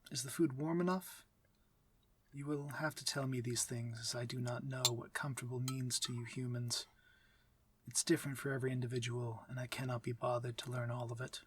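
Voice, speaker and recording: A man playing a courtly, aloof but civil vampire master in an ASMR fantasy roleplay, speaking formally and with measured authority. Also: mid-range voice, level tone, background noise, close to an everyday voice. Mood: civil, patient. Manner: formal, measured, commanding diction. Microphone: close, dry microphone.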